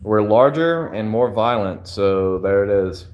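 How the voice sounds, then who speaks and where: A man's voice: on the low side, lively intonation, measured pace, muffled recording. Rambling man chatting casually on stream. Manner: rambling, loose, many "like" fillers. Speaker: livestreamer answering chat. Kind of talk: casual chat.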